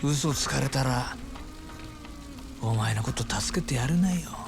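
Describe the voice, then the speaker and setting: An actor playing a menacing yakuza in a video game drama, drawling and taunting as if wounded, with cold murderous intent. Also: male voice, medium pitch, lively intonation, a little fast, vocal fry. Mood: aggrieved, threatening. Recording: game audio with music and rain.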